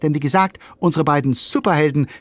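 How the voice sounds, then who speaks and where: A man's voice: on the high side, quick pace, dry recording, natural voice. A man playing a wry, playful narrator who addresses listeners in a German children's audio drama. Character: wry, chatty male storyteller.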